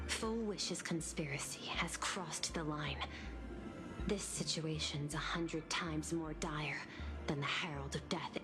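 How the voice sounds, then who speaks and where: A composed female administrator in a video game, her voice on the low side and unhurried. She speaks formally and analytically, measuring out each phrase over clearly audible music. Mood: earnest, urgent. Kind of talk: scripted video game dialogue.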